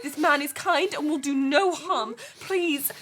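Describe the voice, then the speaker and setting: A woman's voice: high voice, measured pace, background noise. An actress playing a sheltered, romantic young upper-class English lady in a Victorian radio drama, formal and earnest. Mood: anxious, pleading. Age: young adult. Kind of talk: period radio drama acting.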